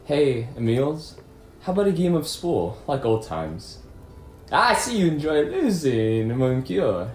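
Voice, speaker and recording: Man playing a swooping, theatrical gentleman guest, echoey room. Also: fairly high pitch, sing-song, measured pace, faint music behind. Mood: suave, jovial. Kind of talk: amateur puppet show acting. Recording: echoey room with faint noise.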